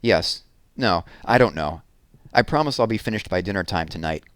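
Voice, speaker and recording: Man voicing a gentle, worried mother, low-pitched. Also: expressive, normal speed, dry recording. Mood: apologetic.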